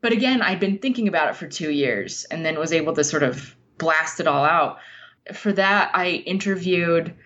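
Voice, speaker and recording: A woman giving conversational interview answers as a guest writer, explaining things in a thoughtful, measured way. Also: lowish voice, lively intonation, a bit bright, lo-fi sound.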